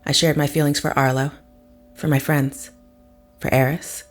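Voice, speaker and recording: A woman playing a cold, unflinching character with a superior mind, speaking in deliberate, formal phrases in an audio drama. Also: sits low, little pitch movement, close to an everyday voice. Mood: grave. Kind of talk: scripted audio drama acting.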